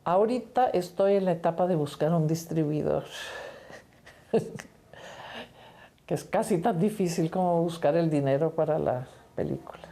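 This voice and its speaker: An older woman from Costa Rica, a film director, talking about her work in a reflective, unhurried interview. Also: low voice, mellow, faint music behind.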